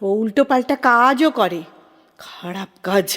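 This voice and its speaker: An actress playing a fussy, blunt elder sister in an audio drama, worried, scolding and insistently complaining. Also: female voice, on the high side, expressive, unhurried, fairly bright tone, long reverb tail. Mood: exasperated, complaining. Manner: complaining, tell-tale, insistent. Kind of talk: Bengali audio drama acting.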